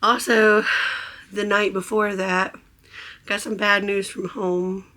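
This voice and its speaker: A hoarse woman who has nearly lost her voice, chatting in a casual, gushing vlog aboard a cruise ship. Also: medium pitch, flat delivery, slow pace, sharp tone. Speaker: vlogger. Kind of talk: casual travel vlog chat.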